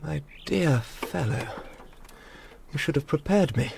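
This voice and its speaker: A chummy, teasing gentleman ex-soldier in a period radio drama. His creaky voice swings up and down through clubby, old-fashioned phrases, over faint background noise. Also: a little high, lively intonation, slow, full character voice. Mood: impressed. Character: chummy, teasing English gentleman war veteran.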